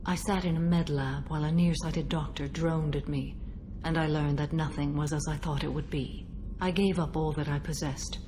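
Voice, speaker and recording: A woman playing an ancient alien warrior mother in a video game, stern and grieving, with formal, measured speech. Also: low-pitched, fairly even intonation, lightly acted. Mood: somber, regretful. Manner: formal, measured, grave delivery. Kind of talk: dramatic video game acting. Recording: clearly noisy game audio, ambience.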